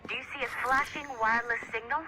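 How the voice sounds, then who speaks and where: An actor playing a nervy, chatty office IT technician, talking informally and a bit wryly in video game dialogue. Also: male voice, very high-pitched, fairly even intonation, fast, bright, forceful tone, soft background music, full character voice, low-quality recording. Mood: instructive, encouraging. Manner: hesitant, informal, wry. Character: nervy, chatty male office IT tech, snoopy and sheepish. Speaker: IT technician coworker. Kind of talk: game dialogue, casual guidance.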